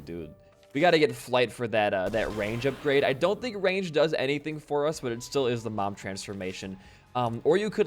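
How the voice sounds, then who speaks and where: A laid-back young man from Wisconsin, a bit hoarse after losing his voice, rambling casually while commenting on his gameplay. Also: mid-range voice. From Wisconsin, USA. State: slightly hoarse from voice loss. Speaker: gaming channel host. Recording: faint game music behind.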